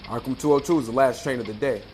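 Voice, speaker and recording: A man's voice: mid-range voice, quick pace, a little mellow, faint music behind, lightly acted. A man playing a folksy, laconic older ticket agent in a radio play. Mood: matter-of-fact.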